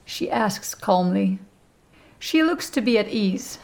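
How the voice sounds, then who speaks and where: Slow, measured woman narrating fiction. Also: mid-range voice, lively intonation, darkish tone. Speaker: audiobook narrator. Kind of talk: scripted first-person fiction narration.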